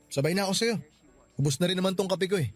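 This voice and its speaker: An easygoing, helpful young office worker in a radio drama. He speaks in a somewhat high male voice, chatty and teasing, with light music behind. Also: quick pace. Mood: casual, concerned.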